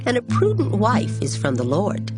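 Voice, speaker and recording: A woman reading scripture in a measured, reverent way, as dramatized Bible narration. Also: medium pitch, wildly swooping pitch. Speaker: Bible reader. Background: plucked guitar music.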